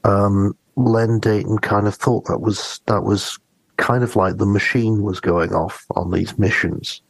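A man with a fairly low voice and plenty of vocal fry co-hosts a casual podcast. He speaks haltingly, feeling his way toward each word. The sound is dry, with no room on it. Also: fairly even intonation, no room sound. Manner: halting, searching for words. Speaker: podcast co-host. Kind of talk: casual discussion.

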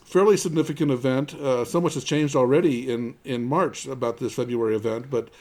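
A man's voice: mid-range voice, fairly even intonation, a little mellow. A man hosting a conversational tech news podcast, often stumbling and repeating the starts of his words. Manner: halting, with repeated word starts. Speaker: podcast host.

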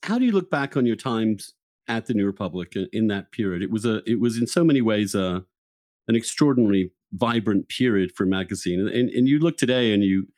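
A man hosting a conversational interview, speaking in an animated way and often restarting his phrases. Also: on the low side, fairly quick, no room sound, studio quality. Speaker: podcast host and interviewer.

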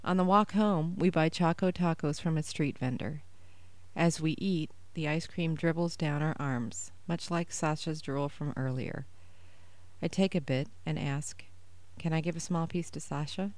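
A woman reading her own first-person short story aloud in a measured, even way. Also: low-pitched, dry recording.

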